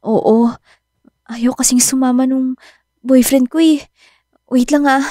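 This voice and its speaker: Nervous teenage girl for radio drama: hesitant, mellow, fairly high, frightened. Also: steady tone, slow, dry recording, studio quality. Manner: hesitant, timid. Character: nervous, frightened eighteen-year-old pregnant girl.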